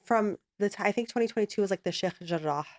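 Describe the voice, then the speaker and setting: A woman's voice: medium pitch, fast talker. Thoughtful woman hosting a podcast. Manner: thoughtful and affirming, builds points with repetition. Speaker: podcast host. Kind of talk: casual interview conversation.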